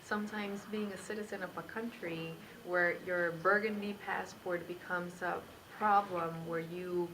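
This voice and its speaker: A woman's voice: on the low side, fairly even intonation, a little slow, hardly any pauses. A woman from Colombia in her thirties, a graduate student, reflecting personally with frequent restarts, recorded in an indoor lounge with audible hiss. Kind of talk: personal interview answer.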